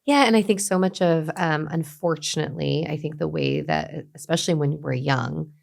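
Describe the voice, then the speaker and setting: A middle-aged woman, a guest talking reflectively in an interview, with many restarts and soft trailing endings. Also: deep voice, moderate pace, vocal fry. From Los Angeles, USA. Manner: thoughtful, many fillers and restarts, trailing softly. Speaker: guest, beauty brand founder.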